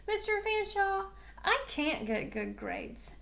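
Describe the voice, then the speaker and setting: A woman's voice: very high-pitched, big pitch swings, slow pace, gravelly, fairly bright tone, voice pushed up, exaggerated voice. Woman as a whiny, cocky schoolboy athlete. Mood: pleading. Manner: protesting, dramatic. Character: cocky, whiny middle school football star boy. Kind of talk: children's novel read aloud.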